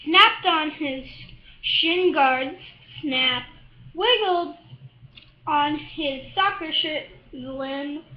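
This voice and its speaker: A woman reading a children's picture book aloud, haltingly, stumbling over and repeating words. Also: high-pitched, reverberant, lo-fi sound. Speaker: storybook reader.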